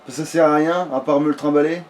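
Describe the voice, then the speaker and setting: A man's voice: on the high side, fairly even intonation, quick pace, a little mellow, slight background noise. A man giving casual, rambling let's play commentary, often swearing coarsely. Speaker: video game streamer.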